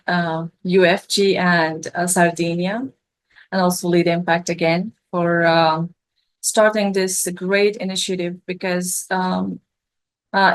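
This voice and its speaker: A woman's voice: on the low side, gravelly. A young woman from Afghanistan, a refugee student, answering interview questions with many fillers and restarts. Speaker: scholarship student guest.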